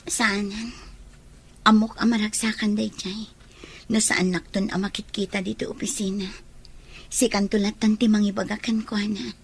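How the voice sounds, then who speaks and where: An actress plays a sorrowful young woman in an audio romance drama. Her voice is husky and slightly breathy, subdued and apologetic. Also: medium pitch, flat delivery, moderate pace, long pauses.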